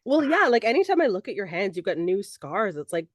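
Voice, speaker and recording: A young woman co-hosting a casual podcast with her father, hoarse and congested after an illness, playful and teasing. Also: medium pitch, sing-song, brisk, dry recording. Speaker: podcast co-host. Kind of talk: casual chat. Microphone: recording online.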